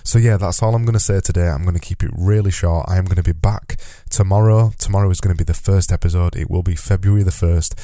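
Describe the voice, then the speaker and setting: A man's voice: deep voice, fairly even intonation, fast talker, warm tone, no room sound, studio quality. A man hosting his own podcast in a solo monologue, with a slightly nasal voice, talking earnestly and repeating words for emphasis. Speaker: podcast host. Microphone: close podcast mic.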